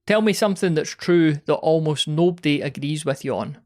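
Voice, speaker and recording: A man's voice: fairly high pitch, a little fast. A man reading out prepared questions as a podcast interviewer.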